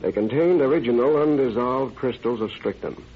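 A man's voice: mid-range voice, moderate pace, natural voice. An actor playing a learned, confident male criminologist who speaks formally and methodically in an old-time radio drama. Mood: certain. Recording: dull, noisy vintage radio recording.

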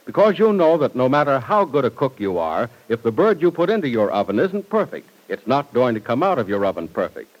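Animated vintage radio announcer man. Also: medium pitch, fairly quick, hardly any pauses, highs cut off. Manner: polished, emphatic salesmanship. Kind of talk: 1940s radio announcing and ad copy. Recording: old radio broadcast recording.

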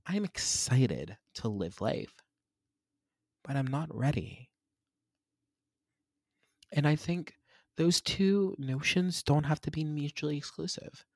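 A man's voice: fairly high pitch, dry recording, studio quality. A man, hosting a solo podcast and reflecting in a candid, rambling, self-searching way. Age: 20s. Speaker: podcast host.

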